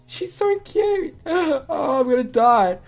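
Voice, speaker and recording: A gamer reading a visual novel aloud with casual, easily amused commentary, thinking out loud. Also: high voice, lively intonation, very slow, creaky. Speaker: gamer, channel host. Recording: music under the voice.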